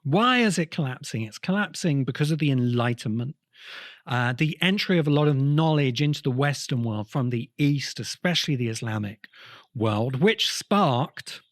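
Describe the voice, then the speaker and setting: A man giving an explanatory lecture in emphatic short phrases with pauses between them. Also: medium pitch, lively intonation, dry recording, studio quality.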